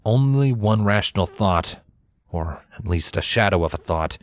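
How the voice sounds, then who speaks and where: A man's voice: lowish voice, expressive, normal speed, dry recording. A man reading a scripted story aloud as its narrator. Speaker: fan fiction narrator. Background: background music.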